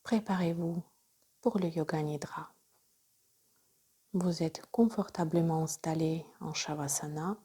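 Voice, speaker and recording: Female yoga nidra guide: soft, breathy near-whisper, dark warm tone, unhurried measured delivery of calm instructions. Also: low-pitched. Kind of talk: guided relaxation meditation.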